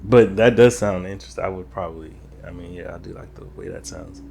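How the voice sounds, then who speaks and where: Low, gravelly-voiced man chatting casually. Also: fast. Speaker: podcast co-host. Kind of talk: casual podcast chat. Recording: noticeable room noise.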